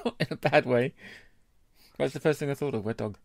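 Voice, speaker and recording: A man's voice: medium pitch, wildly swooping pitch, moderate pace, gravelly, no echo, clean studio sound. A British man co-hosting a podcast, speaking in a casual, conversational way. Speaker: podcast co-host. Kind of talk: casual beer podcast chat.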